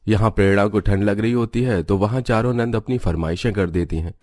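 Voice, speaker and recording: A man's voice: lowish voice, fairly quick, a little mellow, no echo, clean studio sound. A man narrating a scripted cartoon family story.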